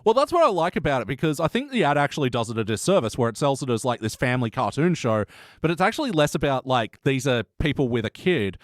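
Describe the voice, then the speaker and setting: A man's voice: medium pitch, big pitch swings, fast talker, no room sound. A man hosting a podcast in casual chat, talking informally and enthusiastically with plenty of swearing. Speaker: podcast host.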